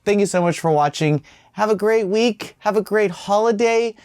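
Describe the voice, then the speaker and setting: A man's voice: high-pitched, normal speed, a little mellow, smooth, no echo, clean studio sound. A man hosting video game news in an informal, wry commentary style.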